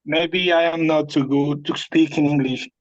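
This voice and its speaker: A man with a Spanish accent, whose first language is Spanish, shares a personal problem haltingly and searches for his words. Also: on the high side, steady tone, dry recording, highs cut off. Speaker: participant sharing a personal problem.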